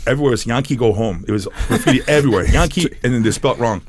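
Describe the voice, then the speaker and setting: A man's voice: medium pitch, very fast. A man in his forties from San Diego, a podcast guest telling stories casually, rambling and laughing between phrases. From San Diego, United States. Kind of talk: casual storytelling.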